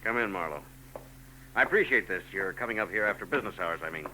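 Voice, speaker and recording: An actor playing a grim, brusque husband in a 1940s radio drama, curt and tense. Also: male voice, low-pitched, expressive, quick pace, pushed and bright, heavily acted, rough recording. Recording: old radio broadcast with hiss.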